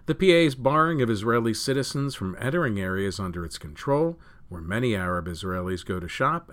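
A man with a fairly low voice reading news articles aloud as a news reader. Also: fairly bright tone.

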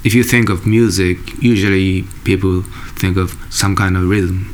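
Musician guy, deep voice, lots of uhs and restarts, bit of noise behind. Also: steady tone. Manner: halting, searching for words, many fillers and restarts. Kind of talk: interview answers. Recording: faint background noise.

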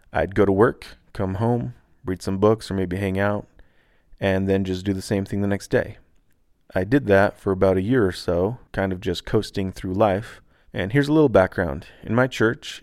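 A laid-back man who grew up in Taiwan, hosting a podcast and calmly, earnestly reading a personal reflection. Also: deep voice, no echo, studio quality. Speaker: podcast host.

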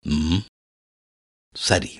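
An actor playing Jesus as a compassionate teacher in a radio drama, speaking in a measured, formal and gentle way. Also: male voice, no echo. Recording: radio drama with soft music underneath.

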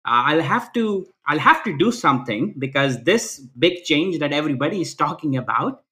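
A man's voice: on the high side, very animated, normal speed, pushed and bright. A man, answering interview questions earnestly over a video call, restarting phrases often and ending points with "right?". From Pakistan. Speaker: guest.

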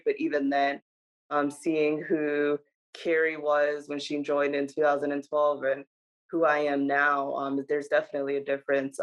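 A woman in her twenties, a soldier answering interview questions in an earnest, steady way, often repeating key phrases. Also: sits low, low-quality recording. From Dominican Republic, raised in New Jersey. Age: 20s.

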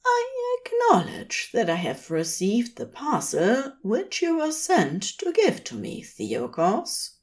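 A woman's voice: a little high, huge pitch swings, slow pace, hardly any pauses, voice pushed up, exaggerated voice. A woman voicing a polite, dignified male angel in a fantasy story, with careful and formal phrasing. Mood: tired, quiet.

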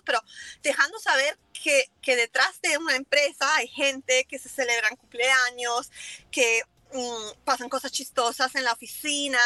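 A woman's voice: high voice, sharp tone, dry recording. A woman, a native speaker of Italian and English, talking casually as an interview guest in foreign-accented Spanish and slipping in English words. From Italy and Germany. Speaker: interview guest, business owner.

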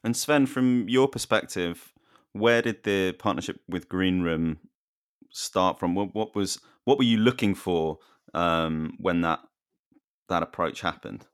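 Deep-voiced male interview host. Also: unhurried, smooth, dry recording, clean studio sound. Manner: friendly, chatty question asking. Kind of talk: podcast interview hosting.

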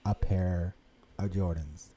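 Rambling American man, mellow tone. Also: low voice, slow. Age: 30s. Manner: rambling, animated storytelling with many restarts. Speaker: podcast co-host. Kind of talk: casual chat.

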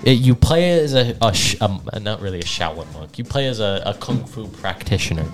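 Casting: man with a lowish voice, enthusiastic, slangy, foul-mouthed podcast host in casual chat, light music behind. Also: expressive, brisk. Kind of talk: casual chat among friends, game ranking. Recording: background music under the voice.

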